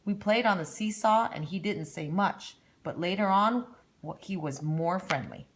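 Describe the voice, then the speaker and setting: A woman's voice: lowish voice, a little slow, natural voice. A woman voicing a cheerful young girl in a storybook read-aloud, frank, friendly and chatty. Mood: warm, chatty.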